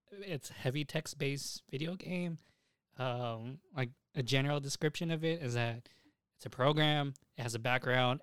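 A man's voice: medium pitch, a bit bright, clean tone, no echo, clean studio sound. A young man hosting a casual podcast, enthusiastic and opinionated, with slangy talk and frequent swearing. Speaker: podcast host.

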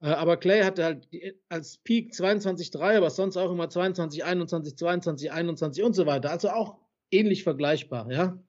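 Man with a high voice, heated and opinionated, quick stat lists, slightly dull podcast audio. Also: a little mellow. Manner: passionate, rattles off stats, swears for emphasis; lots of fillers and tag questions. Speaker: podcast co-host. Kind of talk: casual basketball debate.

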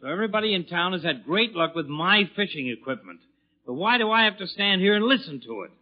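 A man's voice: high voice, expressive, quick pace. An actor playing a blustering, sarcastic middle-aged father in a theatrical, put-upon 1940s radio comedy style. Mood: exasperated, indignant. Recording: muffled old 1940s radio broadcast.

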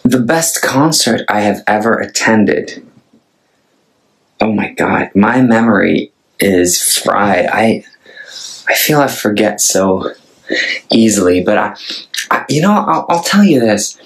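Slow gravelly Norwegian man. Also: fairly low pitch, expressive, low-quality recording. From Norway. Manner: hesitant and thoughtful, many restarts. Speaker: singer-songwriter. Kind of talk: casual chat to camera.

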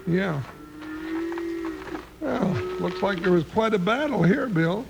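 High, creaky-voiced timid cowboy sidekick. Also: male voice, animated, slow, dull audio, background noise, heavily acted. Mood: comic unease. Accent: American Western drawl. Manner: folksy, hesitant, comic. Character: big, timid, good-natured cowboy deputy sidekick, comic. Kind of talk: 1950s radio western acting.

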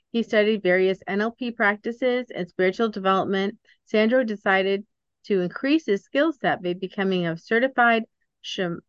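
A woman hosting a podcast, reading a prepared introduction of her guest in a steady way. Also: medium pitch, smooth, no room sound, highs cut off. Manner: reads steadily from a prepared script. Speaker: podcast host.

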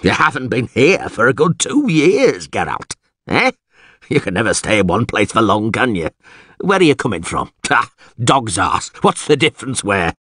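Man playing a blustering, excitable alderman, wildly swooping voice. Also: mid-range voice, normal speed, breathy edge, dry recording, studio quality. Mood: boisterous. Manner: exclamatory, blustering, hesitating with uhs. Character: podgy, blustering, hot-tempered small-town alderman. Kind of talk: audiobook character acting.

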